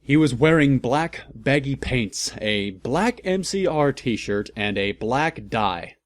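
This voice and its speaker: A man reading a story aloud for comedy, deadpan and mocking, with sarcastic asides. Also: mid-range voice, takes it slowly. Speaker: host of a fan fiction reading channel.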